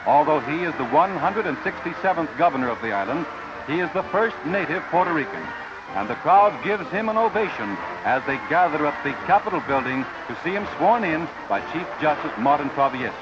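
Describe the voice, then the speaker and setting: American male newsreel narrator, 1940s, slightly high voice, declamatory, muffled old soundtrack. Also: lively intonation, fairly quick, background noise. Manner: formal, declamatory announcer delivery. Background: music.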